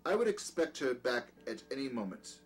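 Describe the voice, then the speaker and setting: An actor playing a middle-aged, scheming doctor in an audio drama, courteous and old-fashioned but quick to command. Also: male voice, mid-range voice, very animated, faint music behind, lightly acted. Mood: feigned innocence. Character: peculiar, scheming doctor, outwardly courteous, domineering to his servant.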